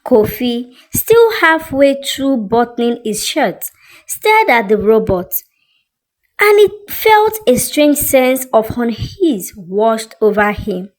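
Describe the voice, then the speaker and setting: A woman narrating a scripted dramatic story in an expressive, suspenseful way. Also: on the high side, slow pace, poor audio.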